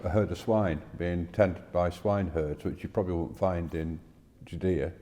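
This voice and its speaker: A man with a very low, mellow voice co-hosts an informal discussion. He speaks in a musing, tentative manner, and the room leaves a long echo around his words. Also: fairly even intonation, moderate pace, slight background noise. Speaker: podcast co-host. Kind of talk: scripture reading and informal discussion.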